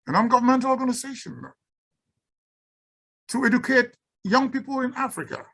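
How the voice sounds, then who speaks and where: Halting Cameroonian woman, fairly high voice. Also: a bit bright, poor audio. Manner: halting, stumbles and repeats words often. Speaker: symposium speaker and researcher. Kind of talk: unscripted talk and Q&A.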